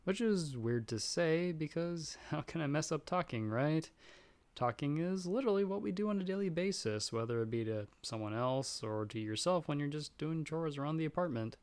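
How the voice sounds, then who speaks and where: A man's voice: a little high, expressive, normal speed, a little mellow, clear, resonant tone. A man playing a nervous, self-doubting young friend in an audio roleplay, chatting casually and rambling with self-deprecating humor.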